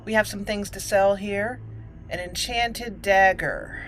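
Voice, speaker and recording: A woman hosting a roleplay game playthrough, deliberately narrating her actions aloud. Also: medium pitch, rough recording. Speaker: gaming channel host. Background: faint game music.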